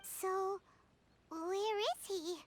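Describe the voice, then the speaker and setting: A woman voicing a small, childlike floating companion in an anime video game, squeaky, excitable and blunt. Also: drawn-out words, slight background noise, full character voice. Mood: puzzled. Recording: game music loud behind voice.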